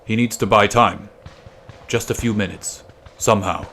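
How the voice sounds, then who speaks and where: Male narrator, low pitch, dramatic storytelling, measured and suspenseful, faint background noise. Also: steady tone, a bit bright. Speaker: narrator of a literary podcast.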